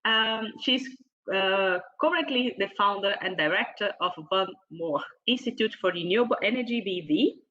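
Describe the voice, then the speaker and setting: A woman moderating an online panel, introducing a speaker formally in a halting, hesitant way, partly reading from notes. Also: medium pitch, a bit bright, highs cut off. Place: online video meeting.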